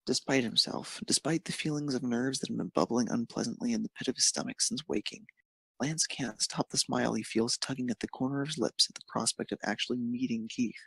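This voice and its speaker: A man narrates a piece of fan fiction in a gravelly, slightly husky voice of medium pitch. He reads from a script with the odd stumble, and the sound is dry. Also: fairly even intonation, a little fast, a bit bright, dry recording. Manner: occasional stumbles while reading.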